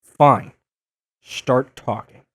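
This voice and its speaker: An actor playing a young masked superhero in an audio drama, sarcastic, flustered and easily exasperated. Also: male voice, drawn-out words, fairly bright tone, no room sound, studio quality. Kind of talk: audio drama dub acting.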